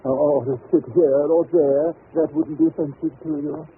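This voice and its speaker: A man playing a jovial, wheedling country gentleman in a 1930s radio drama, with florid, stammering, effusive speech. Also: on the high side, flat delivery, moderate pace, natural voice. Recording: tinny, hissy old 1930s radio recording.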